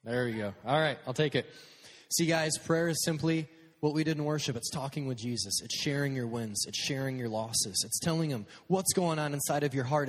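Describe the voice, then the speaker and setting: A man preaching a casual, energetic sermon to young people on a stage microphone, dropping to quiet prayer at times. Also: mid-range voice, long reverb tail. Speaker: youth pastor. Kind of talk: youth sermon. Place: church hall with a live sound system.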